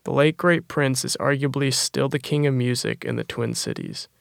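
A man's voice: mid-range voice, fairly even intonation, normal speed, gravelly, dry recording, studio quality. A male anchor introducing a report with a polished, scripted delivery. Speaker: news anchor.

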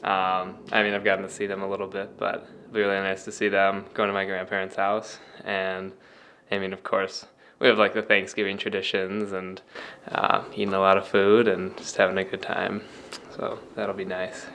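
A man's voice: deep voice, completely flat delivery, normal speed, a bit bright, slight background noise. A young man, a student, answering a street interview casually with fillers. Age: young adult. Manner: chatty with fillers. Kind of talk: casual vox-pop answer.

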